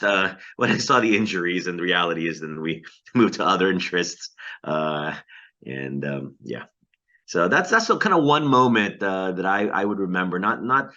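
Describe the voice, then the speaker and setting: A man speaks over a video call, his voice very low and bright-edged. He answers questions in a reflective, halting way, filling pauses with ums and uhs. The audio is dull and lo-fi. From Philippines. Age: 40s. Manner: hesitant, many ums and uhs, laughs lightly. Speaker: interviewee, pastor. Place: at home in the Philippines.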